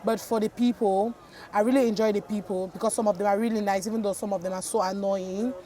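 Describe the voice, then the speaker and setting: A woman's voice: medium pitch, steady tone, fast talker, warm tone. A young woman from Cameroon giving a casual interview answer, chatty and peppering her speech with "like". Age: young adult. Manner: chatty, heavy use of "like". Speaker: interviewee, foreign resident.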